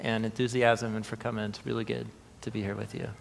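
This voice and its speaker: A middle-aged man informally introducing an event on stage, speaking casually and haltingly. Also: lowish voice, level tone, gravelly, big-room sound, a little hiss or hum. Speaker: presenter. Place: theatre stage, indoors.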